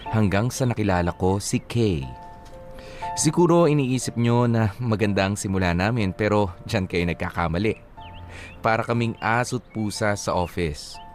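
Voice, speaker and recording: A man playing a troubled young working man, narrating his letter in an earnest, measured way for radio drama. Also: lowish voice, natural voice. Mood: earnest, reflective. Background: music.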